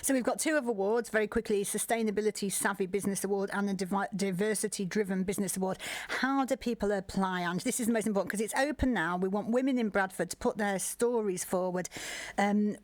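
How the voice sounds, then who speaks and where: A woman's voice: mid-range voice, fast talker, hardly any pauses, no room sound. A woman presenting a conversational radio interview, chatty and emphatic as she puts questions and reflects answers back.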